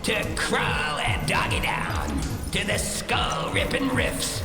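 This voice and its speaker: A man's voice: on the high side, wildly swooping pitch, takes it slowly, full character voice. An actor playing a wild club announcer in a radio drama, showy and raucous, stretching out his words. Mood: raucous, hyped. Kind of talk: cinematic radio drama acting. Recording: echoing, drowned in loud music.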